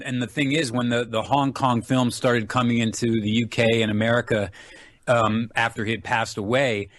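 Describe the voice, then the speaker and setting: Man, mid-pitched voice, casual interview guest, enthusiastic storyteller, close dry sound. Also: no room sound. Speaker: guest, film writer and enthusiast.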